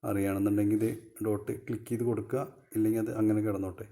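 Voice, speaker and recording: A man with a Malayali accent and a lowish, very mellow, soft-edged voice. He hosts a casual phone tutorial, going through each step informally and circling back to repeat points. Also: level tone, rapid-fire. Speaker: video tutorial host.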